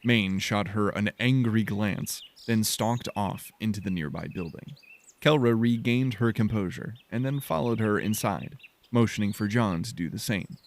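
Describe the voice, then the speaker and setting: A man narrating scripted fiction as an audiobook, in a measured storytelling manner. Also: on the low side.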